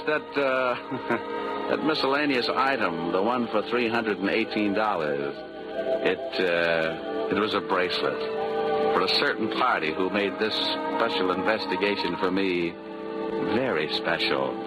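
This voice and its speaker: A man's voice: fairly low pitch, natural voice, low-quality recording. An American man playing a wisecracking, sardonic hard-boiled insurance investigator in 1940s radio detective style. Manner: wry, glib, sardonic. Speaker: radio drama lead and narrator. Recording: old 1940s radio recording with music.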